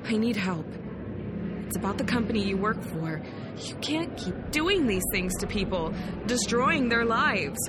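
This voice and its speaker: A woman's voice: medium pitch, very animated, measured pace, heavy background noise. A woman acting in a sci-fi audio drama as a desperate, insistent character, speaking in an urgent, pleading way.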